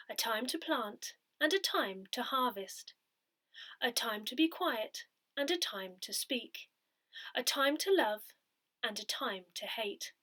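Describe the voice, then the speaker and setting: A woman reading a church newsletter aloud in a measured, carefully phrased way. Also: on the high side, sharp tone. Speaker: volunteer reader of an audio newsletter.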